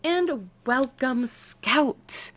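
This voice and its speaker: A woman with an American accent voicing an eccentric, excitable woman scientist playing therapist in an ASMR roleplay, with quirky, halting delivery. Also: high-pitched, full character voice. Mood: quirky, welcoming. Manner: quirky, halting, drawn-out delivery with sudden swoops. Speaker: roleplay voice performer. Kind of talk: ASMR character roleplay, speaking to the listener.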